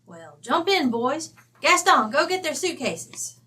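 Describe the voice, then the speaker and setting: Brash, high-pitched Western rancher widow. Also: female voice, sing-song, slow pace, sharp tone, heavily acted. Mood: brisk, hearty. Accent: American West. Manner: blunt, slangy, bossy. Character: brash, folksy wealthy widowed cattle rancher from Wyoming. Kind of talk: old-time radio drama acting.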